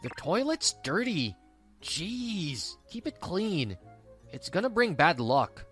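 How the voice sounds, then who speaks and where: A woman's voice: on the low side, sing-song, slow, a bit bright, faint music behind, full character voice. A woman voicing a selfish, dismissive young husband in a manga dub, whiny and condescending.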